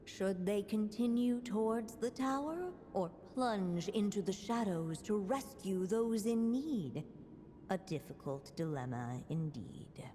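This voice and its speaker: A woman playing a solemn, deliberate storybook narrator in a video game. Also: mid-range voice, lively intonation. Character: solemn, measured storybook narrator of a fairy tale. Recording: long reverb, faint background noise.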